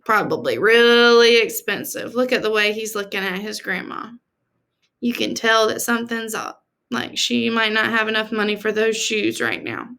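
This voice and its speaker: A woman teacher reading a storybook aloud to children in an expressive, deliberate, explanatory manner. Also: fairly high pitch, clear, resonant tone.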